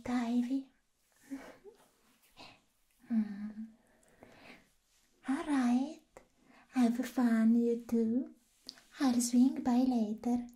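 A slightly mad, lovestruck young villainess played by a woman teases in an ASMR roleplay. Her whispery voice is girlish and fairly high, with a soft, mellow tone. She takes her time, coy and playful. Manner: teasing, coy.

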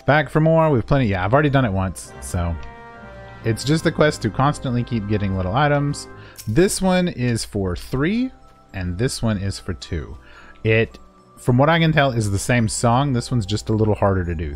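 A man giving a casual, unscripted game walkthrough in a playful, self-deprecating way. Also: mid-range voice. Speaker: gaming video creator and guide narrator.

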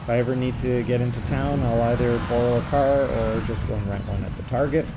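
A man's voice: medium pitch, fairly even intonation, a little fast, heavy background noise. A man with a Canadian accent giving a casual, upbeat tour from a moving golf cart outdoors, chatting loosely with plenty of fillers. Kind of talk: casual narrated driving tour. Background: loud music.

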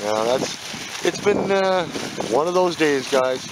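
A man's voice: a little high, expressive, takes it slowly. A man vlogging while fishing on a rocky lakeshore, chatting upbeat to his viewers with lots of fillers. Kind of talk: casual chat to camera. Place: rocky lakeshore outdoors, windy. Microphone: camera mic in strong wind. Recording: loud wind and waves on mic.

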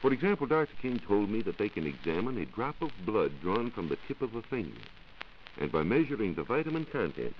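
An American man giving a scripted, measured science talk as a reporter on a 1940s radio show. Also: fairly low pitch. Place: radio studio. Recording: muffled 1940s radio recording with hiss.

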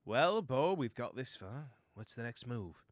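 A man's voice: mid-range voice, sing-song, unhurried, no room sound. Guy doing a plain earnest mouse hero from a storybook, dry close sound. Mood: questioning. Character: practical, good-hearted male mouse adventurer.

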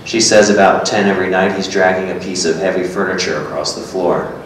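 A man's voice: low voice, fairly even intonation, fairly quick, natural voice. A man giving a staged reading of a literary two-person script, playing a matter-of-fact, musing character. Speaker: performer reading a scripted dialogue. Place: echoey gallery room with hard walls. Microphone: stand microphone. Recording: echoey room, distant, faint noise.

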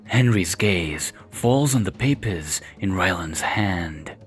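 A man narrates scripted action for an audio drama. His voice is notably deep. Light music plays behind him. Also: lively intonation, takes it slowly.

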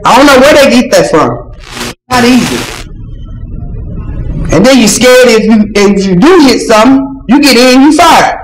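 A woman's voice: a little high, lively intonation, normal speed, pauses often. A woman who drives buses, speaking plainly and earnestly during public comment at a board meeting. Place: large council chamber. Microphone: podium microphone, heard from a far camera. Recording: echoey chamber, background noise.

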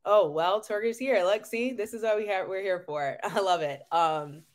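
A woman's voice: medium pitch, moderate pace. A woman nurse hosting an online webinar on a video call, chatty and upbeat, with lots of ums and frequent laughs. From North Carolina, US. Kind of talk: casual webinar presentation. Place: home room.

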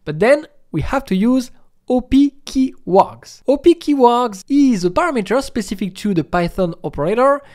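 A man's voice: very high-pitched, expressive, normal speed, darkish tone. A middle-aged man presenting a programming screencast, explaining each step in an instructional way.

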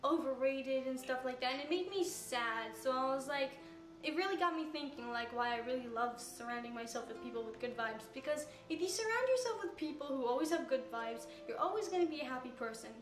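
A teenage girl from Canada with a high-pitched voice, chattily answering fan questions in a casual Q&A. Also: lively intonation, fairly quick, echoey room, faint music behind. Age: teens. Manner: chatty, rambling with fillers. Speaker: YouTuber answering Q&A. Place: room with couch, recorded in a reacted video.

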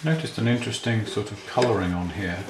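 Male hobbyist repairer, deep-pitched, matter-of-fact, talking through his work in an echoey room. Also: quick pace, noisy background. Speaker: repair hobbyist demonstrating a restoration. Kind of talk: casual hands-on tutorial commentary, thinking aloud while working. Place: indoors at a repair workbench. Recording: roomy, clear handling clatter and clicks.

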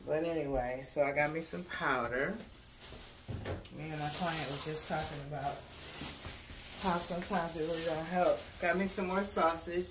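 A woman's voice: deep voice, steady tone, normal speed. An American woman vlogger chatting casually to viewers in a folksy, colloquial way as she unpacks. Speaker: vlogger showing a grocery haul. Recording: echoey room, distant mic, clear background noise.

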